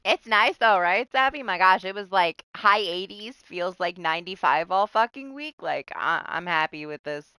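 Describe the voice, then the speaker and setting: A young woman from Texas, chatting casually on a live game stream, laughing often and swearing, with a voice starting to go hoarse. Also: mid-range voice, bright, forceful tone, dry recording. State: starting to lose her voice. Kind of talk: casual live-stream chat while playing.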